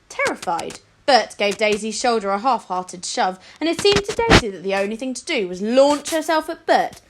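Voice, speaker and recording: A woman reading a children's story aloud as a teacher would, in an expressive narrating style. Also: medium pitch, background noise.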